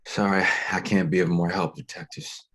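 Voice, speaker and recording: A man playing a gentle, battered pastor in a hospital bed, weary and halting in a script read-through. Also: low voice, fairly even intonation, slightly rough. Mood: weary, apologetic. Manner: weary, halting, softly apologetic. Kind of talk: screenplay table read acting.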